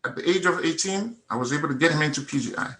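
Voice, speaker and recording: Guy, middle-aged, bright voice, earnest testimony, hammers the same phrase over and over. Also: fairly high pitch, fast talker, poor audio. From Nigeria. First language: Igbo. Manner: earnest, emphatic, repeats phrases for effect. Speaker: testimonial speaker. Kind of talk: personal testimony at a meetup.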